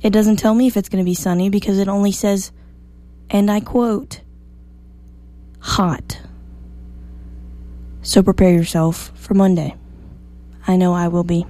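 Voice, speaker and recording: A woman hosting a morning radio show, slightly hoarse, chatty and upbeat as she reads the weather. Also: mid-range voice, steady tone, unhurried, long pauses, mellow. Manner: chatty, upbeat, self-joking. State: a little hoarse.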